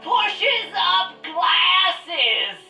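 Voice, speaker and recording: A woman playing a nerdy, boastful professor with slow, showy delivery in a radio drama parody. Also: very high-pitched, sing-song, creaky, sharp tone, exaggerated voice. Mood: smug. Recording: echoey, distant, music behind.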